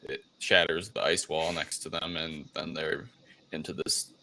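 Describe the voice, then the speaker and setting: A man hosting a casual film discussion, recapping the plot in a loose, halting way with plenty of filler phrasing. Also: a bass voice, flat delivery, vocal fry, pushed and bright, slight background noise, poor audio. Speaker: podcast host recapping a film.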